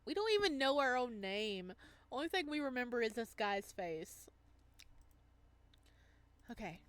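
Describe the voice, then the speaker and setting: A woman's voice: fairly high pitch, sing-song, measured pace, fairly bright tone, dry recording. A woman doing casual let's play commentary on a visual novel, giggly and sympathetic as she reacts to the story. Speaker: game streamer reading a visual novel aloud.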